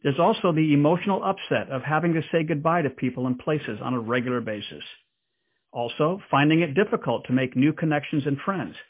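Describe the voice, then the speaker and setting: A man hosting and narrating a scripted talk in a measured, explanatory way. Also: fairly high pitch, expressive, husky. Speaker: host. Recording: tinny phone-like sound.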